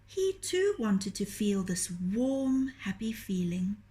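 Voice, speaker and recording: A woman reading a children's story aloud in a gentle, deliberate, expressive storytelling manner. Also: mid-range voice. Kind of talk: children's storytelling, scripted narration.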